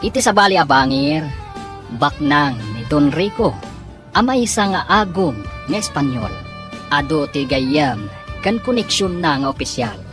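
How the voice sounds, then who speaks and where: Low-pitched Ilocano woman narrating radio drama. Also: expressive, measured pace. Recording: music behind the voice. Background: music.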